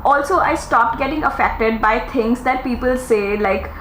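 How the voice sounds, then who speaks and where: A woman in her thirties talks openly in a casual podcast monologue, earnest and chatty, her voice sitting a little high. She pops into lively quoted asides now and then. The room rings with echo under a faint hiss. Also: moderate pace. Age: 30s. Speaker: podcast host. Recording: echoey room with faint noise.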